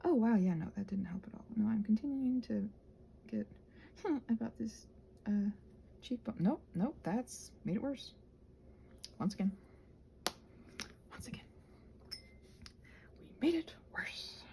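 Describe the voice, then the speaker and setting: Soft, mellow woman rambling casually. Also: fairly high pitch, lively intonation, slow pace, gravelly, long pauses, a little hiss or hum. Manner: meandering, digressive, self-interrupting. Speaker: craft vlogger and audio creator. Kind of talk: casual rambling chat while crafting.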